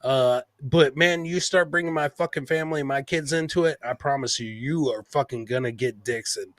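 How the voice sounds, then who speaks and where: A man's voice: medium pitch, moderate pace, a bit bright, no echo. A man hosting a podcast, talking in casual live-stream banter with a brash, profanity-heavy manner. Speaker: podcast host.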